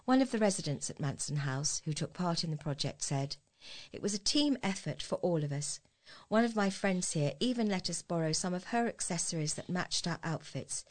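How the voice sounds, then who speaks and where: Female, slightly low voice; volunteer newsreader giving scripted local stories a measured read. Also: lively intonation. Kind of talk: scripted news reading.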